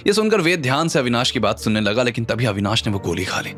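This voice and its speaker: A man's voice: medium pitch, big pitch swings, quick pace, fairly bright tone. A man narrating a scripted audio drama story in a dramatic style. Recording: music under the voice.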